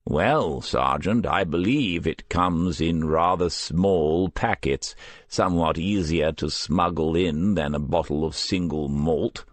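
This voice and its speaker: A man voicing a proud, clever elderly care home resident in an audiobook, speaking in a formal, measured, wry manner. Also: sits low, no room sound. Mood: dryly wry.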